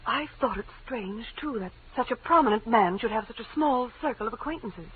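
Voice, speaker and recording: An actress playing a refined, troubled young wife with a genteel, halting delivery in 1940s radio drama style. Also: female voice, fairly high pitch, expressive, natural voice. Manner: genteel, halting, breaking off mid-sentence. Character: refined, troubled, good-looking young wife seeking help. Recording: narrow-band, noisy 1940s radio recording.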